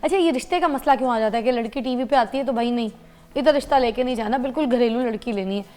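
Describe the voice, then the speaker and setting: A woman's voice: a little high, fast, dark tone. A woman hosting a TV morning show, chatting and eagerly asking her guests questions. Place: TV studio.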